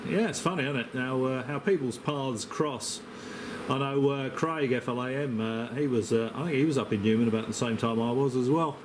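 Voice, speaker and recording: A man chatting casually as an amateur radio operator, rambling with hesitant pauses, picked up by a handheld camera near his radio. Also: medium pitch, noisy background. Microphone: camera microphone held near the operator.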